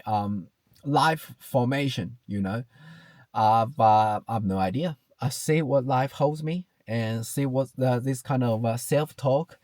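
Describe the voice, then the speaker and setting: A man in his late thirties from China speaks English with a Chinese accent. He talks to the camera in a searching, reflective way, full of ums, restarts and "you know". His medium-pitched voice is recorded close and dry, with no room sound. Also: darkish tone, no echo. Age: 30s. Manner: hesitant, many fillers and restarts, repeats "you know". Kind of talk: reflective personal talk to camera.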